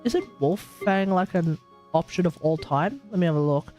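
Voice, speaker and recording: A high-pitched man chats casually as a game streamer, thinking aloud in blunt, slangy commentary. Faint music plays behind his voice. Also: normal speed.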